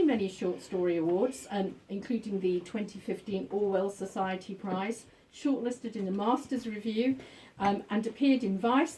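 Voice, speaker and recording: A woman's voice: mid-range voice, darkish tone. Hesitant woman host, distant and slightly noisy. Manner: hesitant, halting, searching for words. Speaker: event host introducing an author. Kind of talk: informal spoken introduction to an audience. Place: bookshop book launch event. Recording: distant mic, faint room noise.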